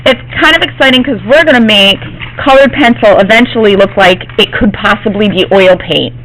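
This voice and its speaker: A woman art teacher, slightly hoarse from losing her voice, gives a classroom demonstration and often checks in with her students. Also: medium pitch, brisk, fairly bright tone, a little hiss or hum, low-quality recording. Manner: reassuring, checks in with "okay?".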